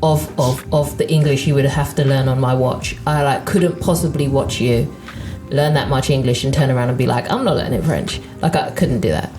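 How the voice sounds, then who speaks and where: A woman's voice: extremely low pitch, moderate pace, hardly any pauses, over music. A woman co-hosting a casual chat, talking emphatically and dropping slangy asides. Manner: emphatic, uses slang like "point blank". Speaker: podcast co-host.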